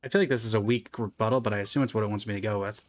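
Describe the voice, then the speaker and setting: A woman with a very deep voice talks quickly. She gives casual, offhand game reactions as a streamer. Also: a little mellow.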